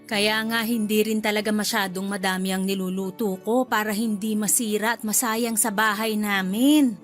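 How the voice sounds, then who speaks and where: A woman's voice: medium pitch, fairly even intonation, moderate pace, lightly acted. A woman playing a gracious, chatty older mother-in-law in a Filipino radio drama, with a Filipino accent. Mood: gracious, cheerful. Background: music.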